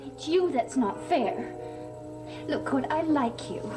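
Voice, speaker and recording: A woman's voice: sits high, expressive, takes it slowly. An anxious young woman in love. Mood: pleading. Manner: pleading, earnest. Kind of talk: 1960s soap opera acting. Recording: old TV soundtrack with loud organ music.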